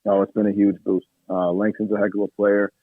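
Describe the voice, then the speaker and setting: A man with a hoarse, raspy voice talks over a tinny phone line. He is an assistant coach answering questions in a steady, measured way, often pausing on fillers. His voice sits low with a gravelly edge. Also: no echo. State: hoarse from yelling at practice. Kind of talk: radio interview answers.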